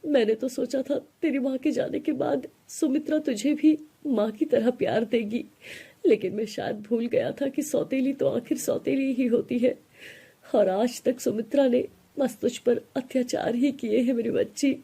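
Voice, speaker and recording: Weak-willed father, read by a woman: middle-aged, remorseful, sorrowful, mellow and breathy. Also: fairly high pitch, moderate pace. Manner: sorrowful, resigned.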